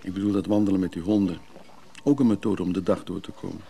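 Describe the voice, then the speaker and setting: An actor in a Dutch radio play voicing an evasive, soothing antiques buyer, speaking conversationally and a little hesitantly. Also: male voice, deep voice, fairly even intonation, quick pace, creaky, warm tone. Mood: weary, musing. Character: Freek, evasive, soothing middle-class antiques buyer and brother.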